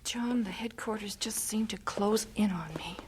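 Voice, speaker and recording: A woman's voice: medium pitch, normal speed, background noise, close to an everyday voice. A woman playing an anxious, devoted missionary wife and mother in an audio drama. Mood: distressed, weary.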